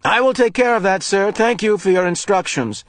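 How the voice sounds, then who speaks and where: A man's voice: high voice, animated, normal speed, dry recording, pitched above the performer's own voice. A man voicing a polite, dutiful intercom attendant who speaks formally in an audiobook.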